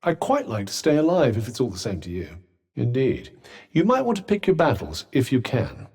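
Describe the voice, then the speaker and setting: An actor playing a wry, sardonic male companion in a game, speaking with crisp, polished diction and a dryly teasing manner. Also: mid-range voice, wildly swooping pitch, normal speed, a little mellow, heavily acted. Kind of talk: game voice lines.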